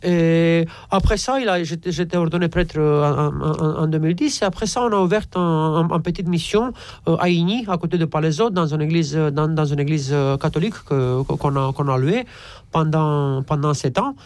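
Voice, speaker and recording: A man from Romania, an Orthodox priest answering interview questions haltingly and repeating words as he searches. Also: fairly high pitch, a little mellow, no room sound.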